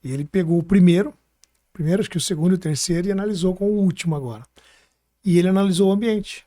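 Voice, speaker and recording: A man's voice: high voice, racing, warm tone, no echo, clean studio sound. A man with a Brazilian Portuguese accent chatting informally as a podcast host, thinking aloud as he goes. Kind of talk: casual podcast conversation.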